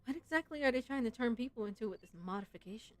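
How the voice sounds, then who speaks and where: Slightly high-voiced woman streaming a story game. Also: expressive, fast, darkish tone, a little hiss or hum. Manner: informal, musing, frequent "like". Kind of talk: casual gameplay commentary with read-aloud story text. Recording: game music behind the voice.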